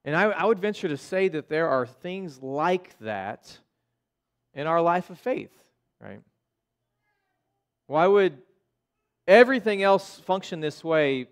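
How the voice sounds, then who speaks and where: A man's voice: fairly high pitch, expressive, a little slow, pauses often, smooth. An American man preaching as a pastor in an informal, conversational way, often checking in with his listeners. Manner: informal, checks in with listeners using "okay?" and "right?". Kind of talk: conversational sermon. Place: church sanctuary in front of a congregation.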